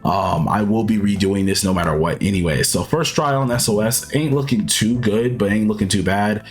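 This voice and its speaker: A man with a sick, hoarse-sounding voice, casually explaining his gameplay moves step by step. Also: fairly low pitch, normal speed. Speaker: video game commentator. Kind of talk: gameplay walkthrough commentary.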